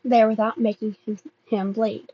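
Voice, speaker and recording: A woman reading fan fiction aloud as an amateur narrator, halting and often stumbling over and repeating words. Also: on the high side, flat delivery, muffled recording. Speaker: amateur podfic reader.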